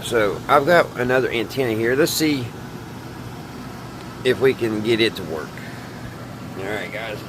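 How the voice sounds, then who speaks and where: Folksy southern guy doing a casual repair vlog, plainspoken, clear hum and noise behind him. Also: mid-range voice, a little slow, long pauses. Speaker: host of a radio repair video. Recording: steady background hum and radio noise.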